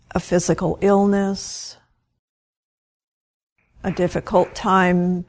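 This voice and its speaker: Very slow, low-voiced woman guiding meditation. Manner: gentle, unhurried, with long pauses between short phrases. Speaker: meditation teacher. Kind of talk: guided meditation.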